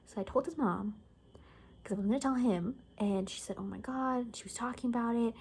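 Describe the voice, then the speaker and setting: Actress as gossipy survivor hairdresser, female, ASMR roleplay. Very soft, warm tone, halting casual delivery, half-whispered, slight noise behind. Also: on the high side, gravelly. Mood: gossipy, confiding. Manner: hushed, often slipping into whisper, casual and halting. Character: gossipy young woman, zombie apocalypse survivor cutting hair. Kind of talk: soft-spoken ASMR roleplay, improvised chatty storytelling.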